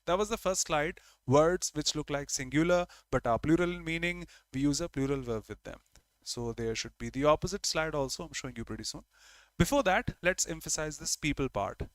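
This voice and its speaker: A man with an Indian English accent teaches an online grammar class. He works through each point step by step and repeats key words for his students. His voice sits a bit higher than most men's and sounds dry and close. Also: lively intonation, brisk, no room sound. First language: Hindi.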